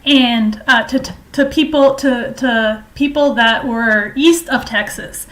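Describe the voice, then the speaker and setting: A woman giving informal political and historical commentary in a halting, hesitant way, explaining at length. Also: fairly high pitch, a bit bright.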